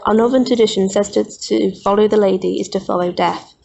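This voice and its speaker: A woman's voice: medium pitch, fairly even intonation, brisk, creaky, warm tone. A woman student talking through research for a radio drama project. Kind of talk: reading research aloud, then casual discussion. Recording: dull lo-fi recording, faint noise.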